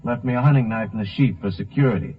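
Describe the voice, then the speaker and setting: Husky, earnest small-town gas station man. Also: fairly low pitch, quick pace. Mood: defensive, thoughtful. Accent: American. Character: plain-spoken, earnest gas station man under suspicion. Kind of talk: 1950s radio drama acting. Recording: muffled narrow-band 1950s radio recording.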